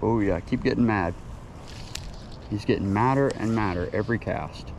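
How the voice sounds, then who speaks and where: A young man filming a solo fishing vlog outdoors at a lake with a chest-mounted action camera, excitably talking to the fish as he reels them in. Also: fairly low pitch, moderate pace, background noise. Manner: excitable, talks to the fish while reeling. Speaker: fishing vlogger. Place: outdoors by a lakeside.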